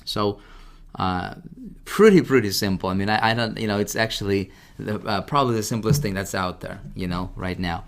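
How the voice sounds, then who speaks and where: A man presenting a casual, informal software tutorial, talking directly to the listener and often checking that they follow. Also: lowish voice, normal speed, vocal fry. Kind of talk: casual screen-share product walkthrough.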